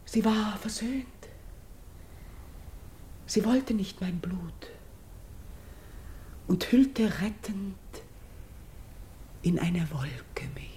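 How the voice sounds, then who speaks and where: An actress playing an earnest, steadfast Greek princess and priestess in a classical verse radio drama, speaking in solemn, measured, elevated declamation. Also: female voice, medium pitch, lively intonation, mellow, slight background noise. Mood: hushed, awed. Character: noble Greek king's daughter turned priestess, earnest and steadfast.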